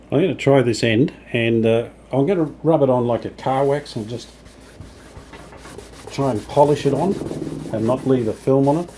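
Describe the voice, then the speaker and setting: A man's voice: medium pitch, mellow. A man casually talking through hands-on work as he does it, understated and a little tentative, thinking aloud. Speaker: host of a hobby radio restoration channel. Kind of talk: casual hands-on workshop narration while working. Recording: clear background noise, sanding and rubbing sounds. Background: rubbing and sanding while working.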